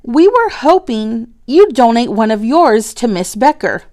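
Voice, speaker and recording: Woman as young schoolboy, storybook character, raised pitch, eager, earnest, pleading. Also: very animated, a little slow, darkish tone. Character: eager, pleading young schoolboy asking his old teacher.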